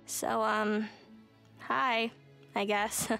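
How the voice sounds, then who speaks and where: An actress playing a reluctant, awkward schoolkid in a video game, hesitant and casual. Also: female voice, a little high, flat delivery, extremely slow, creaky, sharp tone, faint music behind, full character voice.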